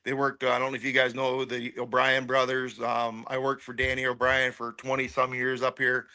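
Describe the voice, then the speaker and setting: A man's voice: medium pitch, monotone, moderate pace, bright, forceful tone, clear, resonant tone. A middle-aged man chatting casually as a racing interview guest, opinionated and ready to poke fun at himself. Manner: opinionated, self-deprecating. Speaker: guest, race car crew member.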